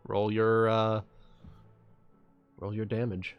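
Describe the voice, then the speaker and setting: A man casually narrating as game master of a tabletop roleplaying game, thinking aloud in a halting way. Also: fairly low pitch, completely flat delivery, smooth, muffled recording, faint music behind.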